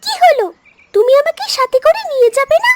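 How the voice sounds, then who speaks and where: A woman's voice: extremely high pitch, very animated, brisk, heavy background noise. A woman voicing a small, pleading baby duckling in a cartoon story. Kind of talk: cartoon voice acting.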